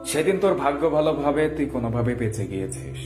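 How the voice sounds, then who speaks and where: A man's voice: mid-range voice, animated, fast talker, voice pushed up, natural voice. A man voicing a stern village exorcist in a horror story, firm and commanding. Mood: grave instruction. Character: stern male village exorcist healer giving orders. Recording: echoey, music bed underneath.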